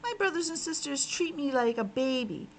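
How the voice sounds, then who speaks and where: A woman's voice: high voice, expressive, normal speed, slight background noise, pitched above the performer's own voice. A woman voicing a small, earnest boy piglet in a children's storybook, childlike and plaintive.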